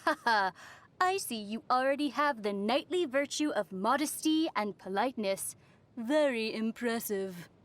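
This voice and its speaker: Young heroine of a fantasy game, high voice, gentle, composed, wistful, dryly teasing. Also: female voice, expressive, a little slow. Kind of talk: video game voice acting.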